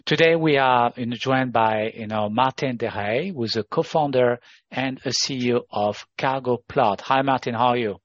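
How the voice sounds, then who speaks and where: A man's voice: mid-range voice, normal speed, dry recording. Hesitant male interview host. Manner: hesitant, heavy on filler phrases. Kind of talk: podcast interview hosting. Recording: dull lo-fi sound.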